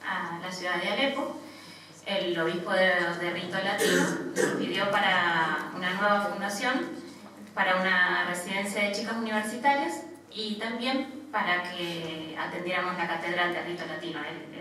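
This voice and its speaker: An Argentine woman in her thirties, a missionary nun, gives an informal personal testimony in a halting manner, often adding small tag questions. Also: lowish voice, sharp tone. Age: 30s. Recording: echoey room, distant mic, faint noise.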